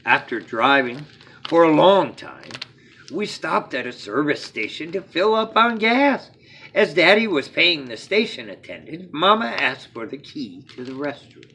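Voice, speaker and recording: A man reading a children's storybook aloud as a bedtime story, with dramatic phrasing that opens emphatically and falls to a hush at phrase ends. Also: fairly high pitch, sing-song, unhurried, a little hiss or hum.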